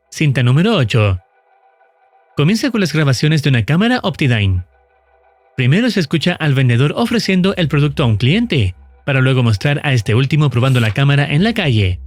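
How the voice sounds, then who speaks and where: A man giving scripted, explanatory narration in a measured, formal storytelling manner. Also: on the high side, dry recording. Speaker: narrator of a horror series recap.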